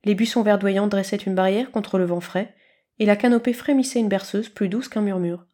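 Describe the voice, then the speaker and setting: A woman's voice: medium pitch, fairly even intonation, moderate pace, mellow. A woman reading literary storybook narration as the narrator.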